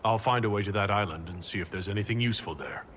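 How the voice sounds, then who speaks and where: An actor playing a composed, courteous leader in a fantasy video game, asking questions in a measured, businesslike way. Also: male voice, fairly low pitch, fairly bright tone, long reverb tail, slight background noise, natural voice. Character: composed male leader of an inquisition, courteous and purposeful. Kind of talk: video game dialogue acting.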